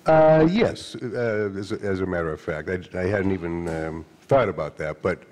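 Deep, mellow older man telling stories hesitantly. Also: animated. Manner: halting, storytelling, lots of fillers and restarts trailing soft. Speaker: author. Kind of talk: onstage conversation. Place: stage of a hall with an audience. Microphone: handheld mic. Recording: long hall reverb.

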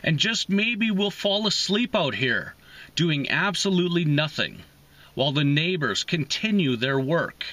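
Forceful, deliberate man reading poetry. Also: fairly high pitch, very animated. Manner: deliberate, pausing between short phrases. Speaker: host of a poetry reading series. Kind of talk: slow, emphatic poetry reading. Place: inside a parked car.

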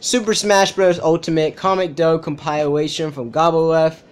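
A man's voice: fairly high pitch, level tone, normal speed, clean tone. A young man giving casual, joking, slangy reaction commentary while watching a video at home. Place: small furnished room at home, indoors. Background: music from the video being watched.

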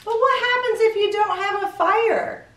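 A woman's voice: very high voice, normal speed, fairly bright tone. A middle-aged woman hosting a show for young children, chatty and playful, often putting questions to the viewers. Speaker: children's story show host. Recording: roomy echo, distant mic.

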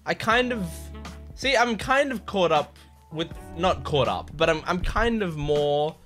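A man's voice: fairly high pitch, animated, measured pace, fairly bright tone. A man in his twenties chatting casually and enthusiastically as a YouTube reaction host, close to a desk microphone in a bedroom. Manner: enthusiastic, gushing, swearing. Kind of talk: casual excited reaction chat. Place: small bedroom at a computer desk. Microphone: desk boom microphone. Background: game trailer music.

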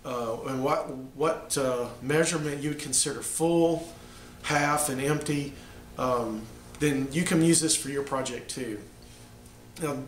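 A middle-aged man, a little hoarse from the flu, explaining a DIY build in his home workshop, plain and halting with many ums. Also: on the high side, reverberant, slight background noise. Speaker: DIY maker. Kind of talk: casual how-to explanation.

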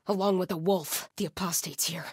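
Terse, husky female cult hunter. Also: lowish voice, fairly even intonation, moderate pace, no echo, clean studio sound. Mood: alert, matter-of-fact. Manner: terse, commanding, menacing. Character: grim, fervent female cult hunter stalking enemies. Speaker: video game enemy character. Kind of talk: short video game combat barks.

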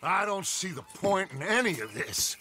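An actor playing a proud, brooding American outlaw gang leader in a Western game, with a gravelly voice. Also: male voice, high-pitched, very animated, normal speed, sharp tone, exaggerated voice. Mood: bitter. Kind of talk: game cutscene dialogue. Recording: game scene with background noise.

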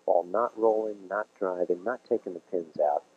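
A man's voice: deep voice, normal speed. Folksy Midwestern man calling bowling. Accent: Midwestern American. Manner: folksy, encouraging, explains the game to viewers. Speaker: bowling commentator. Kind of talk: TV sports commentary. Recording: dull lo-fi 1980s TV broadcast.

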